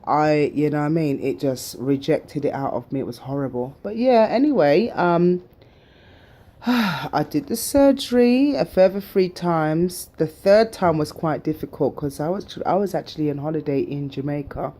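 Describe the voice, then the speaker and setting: A woman's voice: low voice, normal speed, mellow. A middle-aged woman telling her own story in a chatty, emphatic way, full of fillers, then urging viewers on. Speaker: vlogger sharing her surgery journey. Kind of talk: casual personal storytelling to camera.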